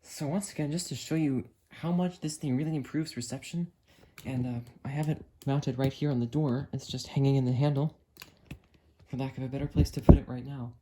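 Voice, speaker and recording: A man's voice: medium pitch, fairly even intonation, a little fast, mellow. A man giving a casual, unscripted demonstration of radio gear as a hobbyist vlogger, with an enthusiastic manner.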